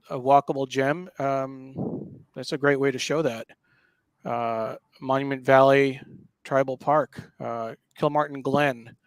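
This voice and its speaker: A man hosting a casual live talk show, explaining what he is showing in a halting, explanatory way. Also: mid-range voice, fairly bright tone, no echo. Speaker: show host. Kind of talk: casual live talk walking through a newspaper.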